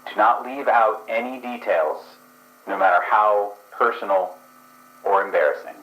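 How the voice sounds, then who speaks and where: A man's voice: on the low side, unhurried, a bit bright, roomy sound, low-quality recording. A man voicing a hesitant, procedural dream investigator who stumbles over his words in an actual play podcast. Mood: formal.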